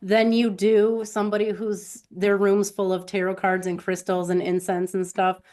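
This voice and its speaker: A female interviewer with an average-pitched voice. She talks casually and conversationally, phrasing things in plain everyday words as she reacts and reflects. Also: flat delivery, moderate pace, a little mellow. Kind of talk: casual chat.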